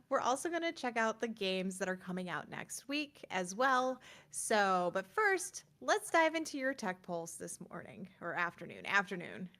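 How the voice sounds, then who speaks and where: A woman co-hosts a tech and gaming show in a casual style. Her voice sits at a middle pitch. She is chatty and informal, wandering a little through her thoughts as she talks. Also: big pitch swings, measured pace, pushed and bright. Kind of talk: casual chat.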